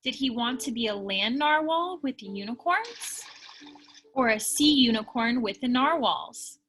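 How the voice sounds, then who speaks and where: A woman reading a children's picture book aloud in an expressive storytelling style. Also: a little high, measured pace, a little hiss or hum, poor audio. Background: music behind the voice.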